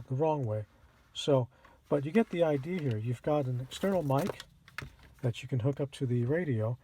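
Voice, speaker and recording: Mellow, husky man giving a how-to. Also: mid-range voice. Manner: plain-spoken, explanatory, step by step. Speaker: host of a radio how-to channel. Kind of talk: casual instructional product demonstration.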